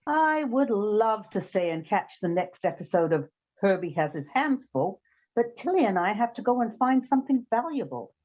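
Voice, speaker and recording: A woman playing a quick-witted, sarcastic private detective in a comic radio play, in a slightly muffled recording. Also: mid-range voice, sing-song, darkish tone. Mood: wry. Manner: wry, sarcastic. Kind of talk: comic old-time radio play acting. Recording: slightly dull, muffled sound.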